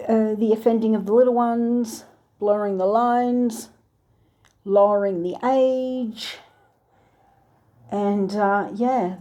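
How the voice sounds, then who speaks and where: A woman earnestly recounting a dream, halting now and then to search for words. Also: medium pitch, mellow. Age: adult with a three-year-old daughter. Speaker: channel host recounting a dream. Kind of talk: personal dream testimony.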